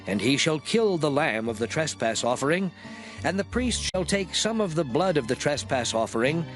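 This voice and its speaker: A man with a fairly high, very animated delivery narrates dramatized scripture. He is formal and solemn, and soft music plays behind him. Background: background music.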